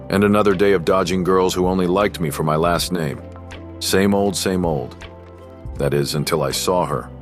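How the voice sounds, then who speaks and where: Man playing a cocky low-voiced teenage rich boy. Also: lightly acted. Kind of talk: scripted first-person story narration with acted dialogue.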